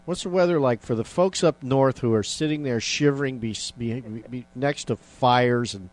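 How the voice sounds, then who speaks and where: A man's voice: medium pitch, normal speed, darkish tone. A man hosting a casual radio talk show, rambling with many fillers and restarts.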